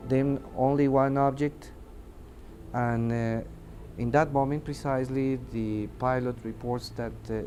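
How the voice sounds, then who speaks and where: Slow, halting Mexican man over music. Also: medium pitch, steady tone, dark tone. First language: Spanish. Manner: halting, searching for words in non-native English. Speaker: air traffic controller giving testimony. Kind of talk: interview testimony, unscripted recounting.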